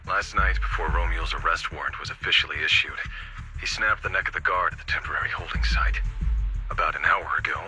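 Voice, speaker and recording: Game casting: senior security officer, very low and crisp-edged, formal, measured, drily commanding, music underneath. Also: male voice, pushed and bright, low-quality recording. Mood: urgent, commanding. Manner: measured, formal, politely pointed. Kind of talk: English video game dub voice acting.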